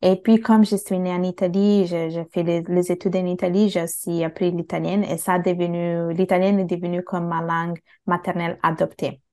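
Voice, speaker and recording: A woman who grew up in Italy, a native Bengali speaker, speaking as a guest in a casual interview and pausing often to search for words. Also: on the low side, little pitch movement, dark tone. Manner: hesitant, many fillers and restarts.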